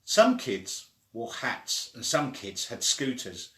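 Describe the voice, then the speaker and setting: Expressive male storyteller in an echoey room. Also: mid-range voice, slow. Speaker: story reader. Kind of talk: read-aloud children's storytelling.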